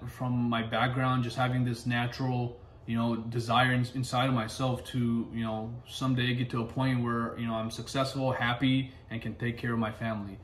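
A young man sitting at home, telling his own story to camera in a relaxed way with lots of fillers. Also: medium pitch, moderate pace, a little hiss or hum. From Pakistan, raised in the United States. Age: young adult. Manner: relaxed, many fillers, gestures with hands while talking. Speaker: medical resident vlogger. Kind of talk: casual personal storytelling to camera. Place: living room at home, indoors. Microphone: camera in front, seated. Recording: echoey room.

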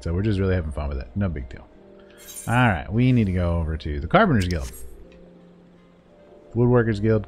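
A man with a fairly low voice narrates a video game quest guide in a casual, joking way. His delivery is informal and playful, like someone making a silly walkthrough for fun. Music plays behind him. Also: a little mellow. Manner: playful, informal, voices paraphrased dialogue and silly sound words. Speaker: gaming video creator giving a quest guide. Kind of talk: casual, jokey walkthrough commentary over gameplay.

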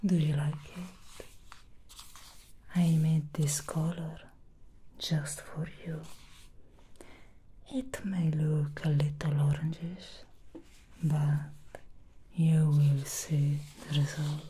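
A woman's voice: sits low, level tone, extremely slow, long pauses, mellow, slight background noise, low-quality recording. A woman playing a sly, aloof female demon hair stylist in an ASMR roleplay, whispering and teasing, disdainful of mortals.

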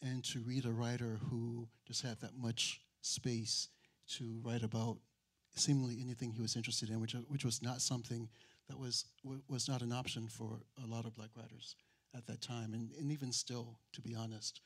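A middle-aged man, speaking reflectively in a panel discussion, pausing and rephrasing as he goes into a handheld mic. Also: mid-range voice, little pitch movement. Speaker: writer and critic on a panel. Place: auditorium stage. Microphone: handheld microphone held near mouth.

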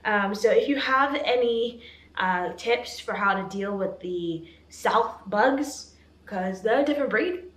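A young woman in her twenties chatting casually to camera in a vlog, animated and laughing often. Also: medium pitch, a little slow, reverberant. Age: 20s. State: hoarse, losing her voice. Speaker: vlogger, law student. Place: apartment bedroom, indoors.

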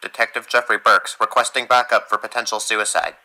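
A man plays a sympathetic, composed detective in an audio drama. His voice is lowish but very sharp and forceful. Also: steady tone, a little fast, vocal fry, full character voice, poor audio. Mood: businesslike. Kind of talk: amateur audio drama acting.

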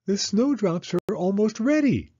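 A man's voice: high-pitched, lively intonation, measured pace, mellow, highs cut off, voice pushed up. A man voicing a sweet, eager, curious little girl mouse in a children's storybook, with earnest childlike questioning. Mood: hopeful.

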